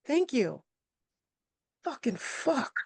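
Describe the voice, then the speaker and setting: A woman's voice: high-pitched, sing-song, drawn-out words, vocal fry, a little mellow, husky, dry recording, full character voice. A woman playing an irritable, sarcastic young man's fiancée in a live script read, snappish and biting. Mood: irritated. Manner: snappish, biting, foul-mouthed. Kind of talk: live screenplay table read.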